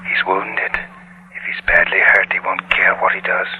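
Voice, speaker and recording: Low, hissing inner voice of doubt. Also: male voice, monotone, moderate pace, husky, phone-quality sound. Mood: ominous, goading. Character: low, fearful inner voice of policeman, nagging doubts. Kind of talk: 1950s radio drama acting. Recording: faintly hissy old radio broadcast.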